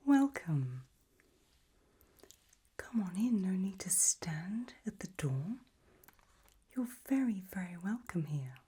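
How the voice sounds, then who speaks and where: A woman playing an elegant, doting gothic lady hostess in a whispered ASMR roleplay, with a soft breath and gracious, languid manner. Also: mid-range voice, very animated, slow pace, pauses often, slightly rough, exaggerated voice. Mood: gracious welcome.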